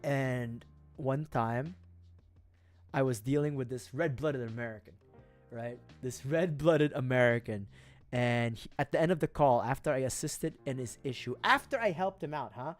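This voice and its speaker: A man's voice: mid-range voice, moderate pace. A young man with a Filipino accent, chatting casually on his livestream in rambling, giggly asides. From Philippines. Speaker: streamer. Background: faint music.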